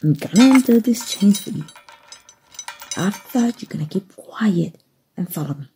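A woman from Mexico playing a kind undercover rebel rescuer in an ASMR roleplay, with folksy, hushed speech and a soft, breathy voice. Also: mid-range voice, expressive, moderate pace, a little hiss or hum, close to an everyday voice. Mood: hushed, urgent. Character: kind human rescuer, gruff-talking, reassuring undercover rebel.

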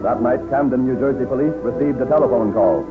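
A man's voice: medium pitch, fairly quick, loud music behind the voice. A man announcing and narrating dramatized news in a declamatory 1930s radio style. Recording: muffled 1930s radio broadcast recording.

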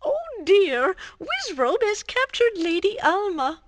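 A woman's voice: extremely high pitch, sing-song, slow, no echo, exaggerated voice. A woman voicing a young princess in a cartoon game, fretting over bad news. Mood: worried. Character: young princess narrating a magic vision. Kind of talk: 1990s cartoon game voice acting.

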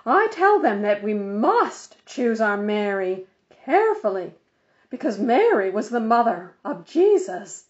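A woman's voice: mid-range voice, sing-song, slow. A woman voicing a bossy, self-important middle-aged lady in a storybook, lecturing in a preachy, insistent way. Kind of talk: storybook character voice. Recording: dull, muffled lo-fi audio.

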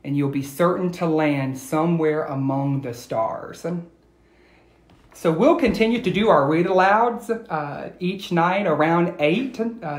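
Slow-paced man reading to children. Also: fairly high pitch. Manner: addresses young listeners directly, encouraging. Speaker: educator reading aloud to schoolchildren. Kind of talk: expressive children's read-aloud. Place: indoor room at home. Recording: echoey room, phone video.

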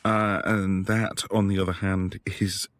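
A man acting a quiet, tender professor husband in an intimate boyfriend ASMR roleplay, soft-spoken and hesitant. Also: sits low, flat delivery, measured pace, no room sound. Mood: fond, gently teasing. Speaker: audio roleplay voice actor.

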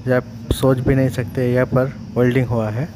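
A man in a workshop, calmly explaining his welding work step by step in a casual how-to voice-over. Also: mid-range voice, moderate pace, noisy background. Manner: plain, steady, explains step by step. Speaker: welder demonstrating a technique.

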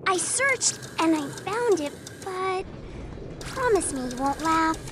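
Lady doing scared little kid in a game, very high plaintive voice, train noise behind. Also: animated, slow, a bit bright, full character voice. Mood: timid. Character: timid, cautious child passenger. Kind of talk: video game cutscene acting. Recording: noisy train ambience behind.

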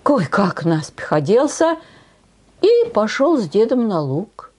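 An older woman telling a folk tale expressively, in an unhurried, folksy way with homely diminutives. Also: medium pitch, sing-song, a little mellow, husky. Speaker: storyteller on a channel. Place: small decorated room indoors.

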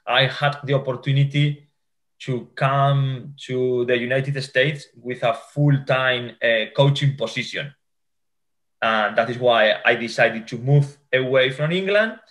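Spanish-accented man, halting interview answers. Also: medium pitch, fairly bright tone. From Spain. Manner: halting, with many restarts and fillers. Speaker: futsal coach. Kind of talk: video call interview. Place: home room. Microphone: video call.

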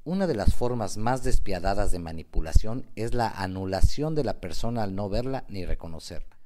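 A man narrating a scripted radio novel as a dramatic, measured storyteller. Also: fairly low pitch, lively intonation, mellow, a little hiss or hum.

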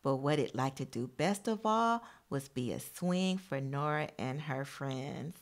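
A woman's voice: very deep voice, lively intonation, a little slow. A woman teacher reading a picture book aloud expressively to young children, adding encouraging asides addressed straight to them.

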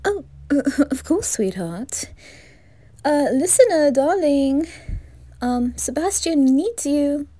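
A woman's voice: sits high, animated, slow pace, dark tone. A woman voicing a flamboyant, doting, motherly reaper in an ASMR fan roleplay, gushing with endearments. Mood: flustered, dramatic.

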